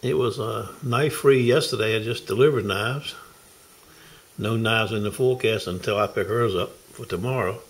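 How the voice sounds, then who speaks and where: A man's voice: on the low side, normal speed, slightly rough. A middle-aged man, chatting folksily in a casual video diary from his car and home, recorded on a handheld camera. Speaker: knife sharpener vlogger.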